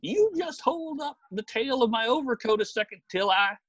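Woman acting a husky, blustering, exasperated man. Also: a little high, lively intonation, moderate pace, no room sound, highs cut off. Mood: exasperated, angry. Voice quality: husky, whining. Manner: blustering, muttering, oath-prone. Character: fussy, excitable, increasingly exasperated ordinary man in the street.

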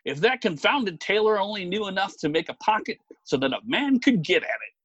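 A woman voicing a fussy, excitable man who blusters and mutters as he gets exasperated, in a storybook reading. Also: medium pitch, brisk, dry recording, lo-fi sound, voice pushed down. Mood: exasperated, angry. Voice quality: husky, whining. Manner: blustering, muttering, oath-prone.

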